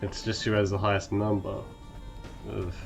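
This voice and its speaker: Low-voiced male streamer, gravelly from sickness, casual commentary, faint music. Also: flat delivery, normal speed. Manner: casual, reads tutorial text aloud, laughs often. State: sick, gravelly voice. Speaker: game streamer. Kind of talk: casual let's-play commentary.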